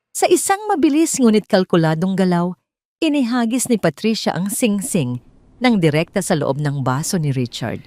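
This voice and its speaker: A woman's voice: on the low side, a little mellow. A woman with a Filipino accent narrating a scripted dramatic story in audiobook style.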